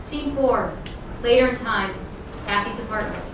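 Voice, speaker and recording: A woman's voice: a little high, measured pace. A woman reading scripted stage directions aloud as narrator at a staged play reading. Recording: echoey hall, distant mic, noisy.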